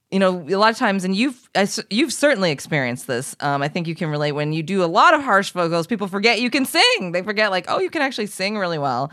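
A woman hosting a casual podcast interview, a vocal teacher with a low voice who explains at length. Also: fairly bright tone. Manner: talkative, explaining in long runs.

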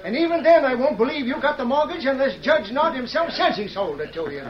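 A man's voice: extremely high pitch, animated, brisk. An actor playing an angry, stubborn, defiant townsman in a 1940s radio western. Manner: agitated, defiant. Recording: muffled old radio recording, crowd behind.

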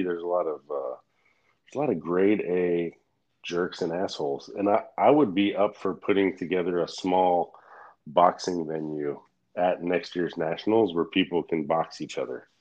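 Brief: low-voiced man, blunt, rambling, casual swearing; dull lo-fi audio. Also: a little mellow. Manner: rambling, frank, swears freely, laughs often. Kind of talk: casual chat.

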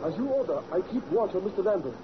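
An actor playing a loyal, earnest foreign driver who speaks deferential, clipped broken English in 1940s radio style. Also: male voice, sits high, sing-song, quick pace. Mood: obedient, brisk. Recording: muffled noisy old radio recording.

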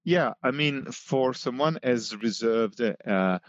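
A man's voice: mid-range voice, expressive, slow pace, dry recording, dull audio. A man from Italy, whose first language is Italian, answering podcast questions as a book co-author in a thoughtful way, with many restarts and fillers.

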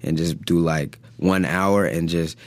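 A young man, a rapper, talking casually in an interview with a deep bass voice and a laid-back, filler-heavy way of speaking. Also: steady tone, no echo. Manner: laid-back, drawn-out phrases with frequent fillers. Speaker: rapper guest.

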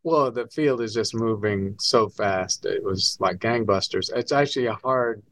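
An older man, born in Scotland, answering interview questions as an astronomy professor in a relaxed, wry, explanatory way. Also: lowish voice, unhurried, dry recording, studio quality. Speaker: astronomy professor, guest author.

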